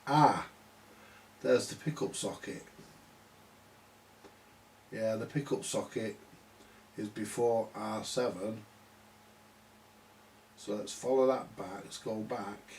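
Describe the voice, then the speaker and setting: A man giving halting, unscripted commentary at a workbench, muttering to himself as he works things out. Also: on the low side, darkish tone. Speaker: vintage radio repair hobbyist. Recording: distant mic with background hiss.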